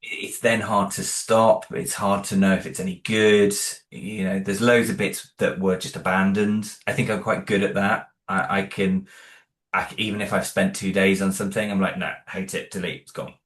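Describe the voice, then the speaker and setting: Man, lowish voice, rambling casual chat, lots of fillers. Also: fairly even intonation, moderate pace, a bit bright. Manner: rambling, many fillers and restarts, self-deprecating. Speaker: interview guest, audio drama creator.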